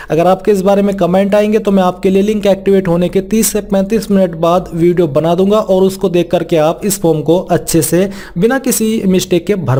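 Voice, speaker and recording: Man, high voice, soft dark tone, explanatory, instructional walkthrough. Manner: explanatory, reads out formal text then restates it plainly. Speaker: presenter of a job vacancy explainer. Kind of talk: informal instructional walkthrough of an official notice.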